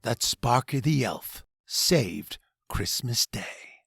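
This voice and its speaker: A man narrates a rhyming picture book as its author, dramatically and unhurriedly. His voice swings widely and has a husky grain, recorded close and dry. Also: mid-range voice, drawn-out words, no room sound, faint music behind. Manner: dramatic, expressive storytelling. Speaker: author reading own children's book.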